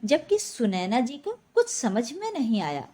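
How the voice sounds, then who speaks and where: A woman's voice: on the high side, very animated, unhurried, mellow, slightly rough. A woman telling a scripted domestic story as a dramatic narrator.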